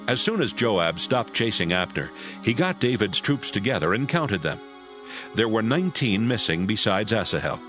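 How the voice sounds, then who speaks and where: A man narrating a Bible audio drama from a script in a measured storytelling manner. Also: lowish voice, a bit bright. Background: music.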